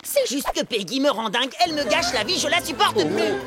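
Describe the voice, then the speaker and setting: A young boy blob from a cartoon, whiny and dramatic. The voice sits high and jumps around in pitch. Music plays clearly underneath. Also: sing-song, brisk, crowd noise. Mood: indignant. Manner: whiny, melodramatic. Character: dramatic, easily exasperated young male amoeba. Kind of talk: French animated cartoon dubbing. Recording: music behind the voice.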